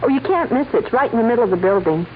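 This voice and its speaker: A woman's voice: fairly high pitch, animated, very fast, phone-quality sound, natural voice. Woman acting a coy, lilting 1940s clerk. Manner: coy, playful hesitations. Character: coy flirtatious female check-cashing clerk. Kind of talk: 1940s radio crime drama acting. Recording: thin, noisy 1940s radio recording.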